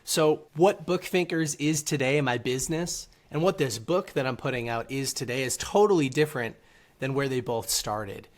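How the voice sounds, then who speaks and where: A man answering casually as an interview guest over a video call, articulate and measured as he explains. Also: mid-range voice. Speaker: interview guest, author and entrepreneur. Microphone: speaking over a video call.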